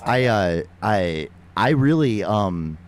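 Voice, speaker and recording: A man from Minnesota, a musician guest, speaking modestly and haltingly with lots of restarts. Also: fairly low pitch, lively intonation, smooth. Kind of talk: casual interview talk.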